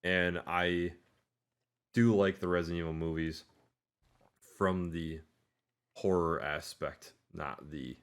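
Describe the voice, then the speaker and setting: A man's voice: low-pitched, fairly even intonation, slow pace, pauses often. A man co-hosting a podcast, explaining his opinion casually and hesitantly, qualifying as he goes. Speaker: podcast co-host. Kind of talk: casual movie discussion.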